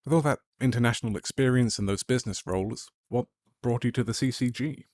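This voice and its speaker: A man hosting a research podcast, reading a scripted introduction and then asking questions in a measured, polished way. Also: medium pitch, a little mellow, dry recording, clean studio sound. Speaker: podcast host.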